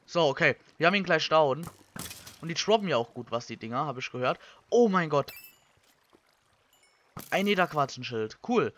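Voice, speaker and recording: A young man from Germany, giving casual Let's Play commentary and thinking out loud in colloquial language. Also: fairly high pitch, lively intonation, moderate pace, long pauses, slight background noise. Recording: slightly dull, lo-fi microphone.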